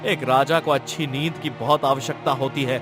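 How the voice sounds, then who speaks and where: A man's voice: medium pitch, steady tone, moderate pace, over music, close to an everyday voice. A man voicing a stern, restless king in a cartoon story, with a commanding, haughty way of talking.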